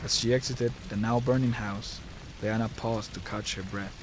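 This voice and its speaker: A man's voice: lowish voice, steady tone, normal speed, background noise. A man delivering scripted, dramatic narration for a horror audio drama, close on the mic.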